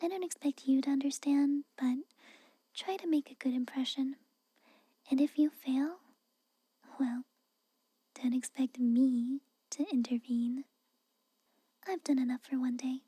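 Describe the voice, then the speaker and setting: Woman acting a whispering, high-voiced tsundere maid. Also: fairly even intonation, no room sound. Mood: aloof, reluctant concern. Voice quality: soft, breathy whisper. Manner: hesitant, halting, grudging, cautioning. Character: tsundere castle maid, guarded, haughty, secretly caring. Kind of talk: whispered ASMR roleplay. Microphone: close microphone.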